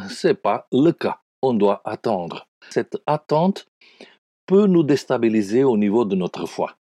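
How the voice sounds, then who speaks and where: Male pastor, Italian accent, earnest radio devotional, mellow tone, very dry studio sound. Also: medium pitch, dry recording. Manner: earnest, pastoral, addresses listeners as friends.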